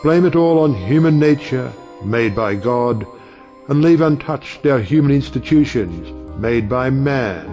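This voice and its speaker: An actor playing a wry, provocative middle-aged judge and uncle in an audio play, speaking in a rhetorical, oratorical way. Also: male voice, mid-range voice, animated, a little slow, warm tone, lo-fi sound, natural voice. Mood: impassioned, scornful. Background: music.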